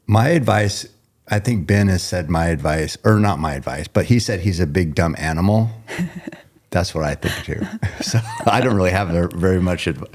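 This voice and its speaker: Very low-voiced man, rambling podcast host. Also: expressive, moderate pace, a little mellow. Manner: rambling, self-interrupting, laughs often. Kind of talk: casual interview chat.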